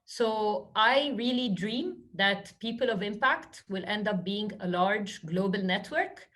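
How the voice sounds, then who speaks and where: A woman's voice: medium pitch, lively intonation, slow, a bit bright. Egyptian woman speaking in a webinar. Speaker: guest speaker, social entrepreneur. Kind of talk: webinar Q&A talk.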